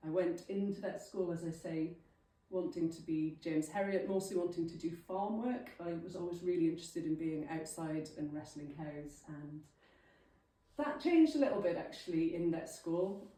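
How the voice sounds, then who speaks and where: A woman's voice: low voice, normal speed, dark tone. A Welsh woman in her thirties, a native Welsh speaker, giving an informal, chatty, self-deprecating talk to a local group. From Wales. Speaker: guest speaker. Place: village hall. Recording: echoey hall, mic at a distance.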